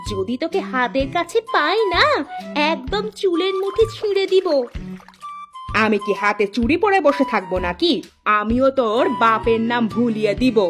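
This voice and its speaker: A woman playing a quarrelsome, sharp-tongued village wife in a cartoon, scolding and indignant. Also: sits high, expressive, normal speed. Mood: furious, taunting. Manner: scolding, taunting, indignant delivery. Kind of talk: Bangla cartoon dialogue. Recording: music under the voice.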